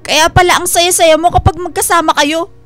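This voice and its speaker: A woman acting in a radio drama as a hurt, jealous wife, emotional, halting and accusing. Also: extremely high pitch, fairly even intonation, a bit bright. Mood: angry, wounded. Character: hurt, jealous wife confronting her husband. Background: background music.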